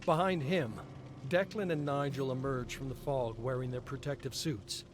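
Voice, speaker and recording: A man giving a measured, expressive dramatic reading of game story text as a lore reader on a live stream. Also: mid-range voice, background noise. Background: clear background music.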